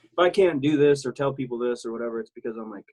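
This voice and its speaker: A man's voice: mid-range voice, fast, a little mellow. A man in his thirties from South Texas, a military veteran talking as an interview guest, rambling and blunt, with many restarts and fillers. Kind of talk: casual interview talk.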